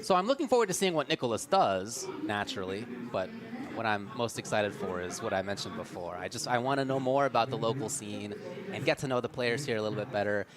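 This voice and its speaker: A man commentating a live fighting-game tournament, enthusiastic and analytical, speaking in quick bursts. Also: fairly low pitch, animated, a crowd in the background. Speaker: esports commentator.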